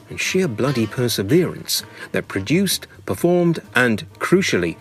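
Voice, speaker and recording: British male narrator, lowish pitch, wry and mock-grandiose, comic memoir read over music. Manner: wry, mock-grandiose, savouring ornate phrases. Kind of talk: scripted humorous memoir narration.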